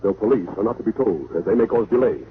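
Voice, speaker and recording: An actor playing a brisk, businesslike insurance man with a formal, insistent manner in 1940s radio style. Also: fairly low pitch, animated, lightly acted. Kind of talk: 1940s radio drama acting. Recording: tinny, hissy old-time radio recording.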